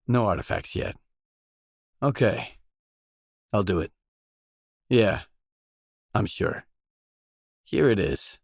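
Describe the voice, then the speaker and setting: Casting: pragmatic wasteland mercenary in a video game; lowish voice, dry close recording, restrained and matter-of-fact. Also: male voice, gravelly, breathy edge, no echo, exaggerated voice. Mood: businesslike, matter-of-fact. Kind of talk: video game character dialogue.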